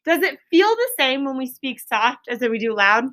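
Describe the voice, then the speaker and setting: A woman's voice: high-pitched, very animated, moderate pace, a bit bright, a little hiss or hum. A woman teaching an elementary class, quizzing pupils in a firm, directive manner. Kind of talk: classroom lesson with question and answer.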